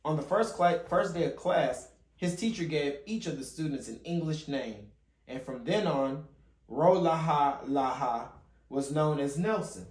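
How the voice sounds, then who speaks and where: A man's voice: fairly high pitch, measured pace, a little mellow. A man reading a children's picture book aloud as a father and host, now and then stumbling and rereading a phrase. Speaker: father hosting a children's read-along channel. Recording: roomy, echoey home recording.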